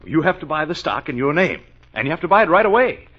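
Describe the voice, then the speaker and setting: An actor playing a brisk, impatient, pushy lawyer in the style of 1940s radio drama. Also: male voice, a little high, very animated, fairly bright tone, slightly rough, full character voice. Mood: brisk, persuasive. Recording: dull vintage 1940s radio recording.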